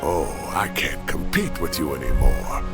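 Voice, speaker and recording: A gravelly, deep old professor. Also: male voice, expressive, a little slow, loud music behind the voice, full character voice. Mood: playful, fond. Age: older. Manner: rambling, playful, wistful. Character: old male professor, fond, wry, teasing. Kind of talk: English video game voice acting.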